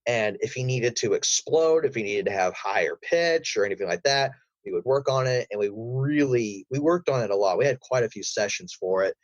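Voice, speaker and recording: A slightly muffled, dry recording of a man with a mid-pitched voice. He talks as a podcast guest in a casual, storytelling way, with an animated manner. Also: a little fast, hardly any pauses, no echo. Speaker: podcast guest, voice actor and audio drama creator. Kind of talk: casual interview chat, storytelling.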